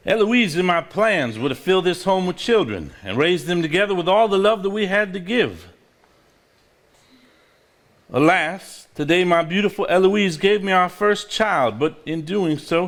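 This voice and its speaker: An actor as a tender, formal diary reader, higher-pitched man. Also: a bit bright. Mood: grieving. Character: sentimental man reading a grieving father's diary aloud. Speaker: stage actor. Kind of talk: live stage play, reading an old diary aloud.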